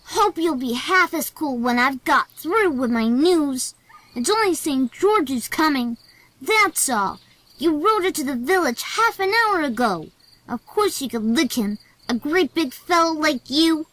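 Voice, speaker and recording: An eager, insistent young village boy, voiced by a woman, bossy and excitable. The voice is pitched extremely high and sounds dry and close. Storybook audio drama. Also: unhurried, dry recording, rough recording. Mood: teasing.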